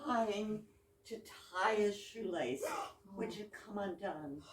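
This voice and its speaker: A woman acting an excitable, earnest wife in a staged comedy reading, drawing out her words dramatically. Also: medium pitch, close to an everyday voice. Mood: excited. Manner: dramatic, drawn-out delivery. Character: excitable wife, breathlessly earnest about trivial things. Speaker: amateur readers theater actor. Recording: echoey room, far mic, background noise.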